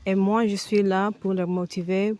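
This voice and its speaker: A woman from the United States, answering a TV interviewer's questions slowly and with many hesitations. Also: medium pitch, little pitch movement, darkish tone. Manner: slow, halting, with frequent hesitations and restarts. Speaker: foundation president, guest.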